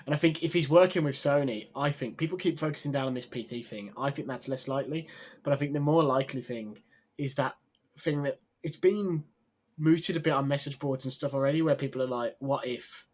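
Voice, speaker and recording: A man's voice: medium pitch, brisk, darkish tone. A man co-hosting a gaming podcast, speculating in a hedging way with repeated "I think" and tag questions. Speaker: podcast co-host. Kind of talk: casual chat.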